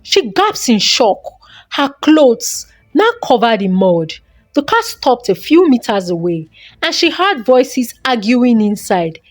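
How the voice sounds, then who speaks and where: A woman's voice: on the high side, big pitch swings, slow pace, no room sound. A woman narrating a folktale as a dramatic storyteller.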